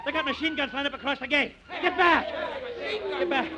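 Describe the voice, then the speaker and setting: An actor playing a tough, suspicious convict with a clipped, barking delivery in a 1940s radio crime drama. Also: male voice, very high-pitched, a little fast, over a noisy crowd. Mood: frantic, vengeful. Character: tough, suspicious male convict leading a jailbreak. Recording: vintage radio recording with loud commotion.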